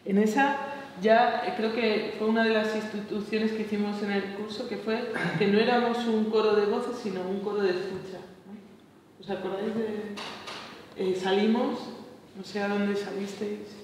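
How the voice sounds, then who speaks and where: A woman's voice: medium pitch, flat delivery, moderate pace, a little mellow, slight background noise. A woman, leading a seminar and thinking aloud, hesitant, with frequent tag questions. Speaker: choir workshop leader. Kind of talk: reflective seminar talk. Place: large bright seminar room. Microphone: far camera mic. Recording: echoey room from far off.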